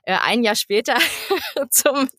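A thirty-year-old woman from eastern Germany chatting casually as an interview guest, halting and giggly as she searches for words. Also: on the high side, animated, a bit bright, dry recording, studio quality. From eastern Germany (Lower Lusatia). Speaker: guest, actress and voice actor.

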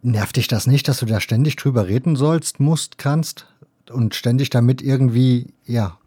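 A man's voice: medium pitch, moderate pace, mellow, husky, no echo, clean studio sound. A man hosting a podcast, asking questions in a casual conversational style. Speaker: podcast host, interviewer.

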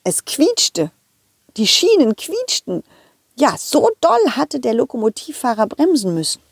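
A woman's voice: a little high, wildly swooping pitch, a little slow, gravelly, husky, no echo, studio quality. A woman storyteller narrating a story for children. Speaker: puppeteer, storyteller.

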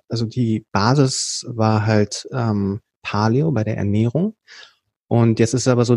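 A man from Berlin with a rather low, warm, mellow voice talks in a relaxed interview, explaining things at length. He often hesitates with fillers and starts sentences over. The sound is dry and close. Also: dry recording. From Berlin, Germany. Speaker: podcast guest, company co-founder. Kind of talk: casual conversational storytelling.

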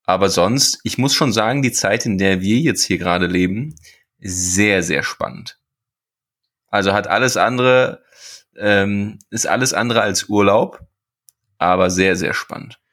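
A man with a deep, low-pitched voice co-hosts a podcast. He banters casually and jokingly, often repeating a word or two before the sentence gets going. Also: measured pace, fairly bright tone. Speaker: podcast co-host.

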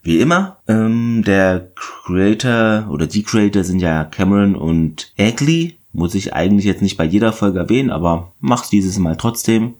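Host, male, low-pitched, nasal from a cold, casual and digressive. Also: lively intonation, normal speed, few pauses, a little mellow. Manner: chatty, rambling, self-correcting. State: stuffy, has a cold. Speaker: podcast host. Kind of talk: casual episode recap.

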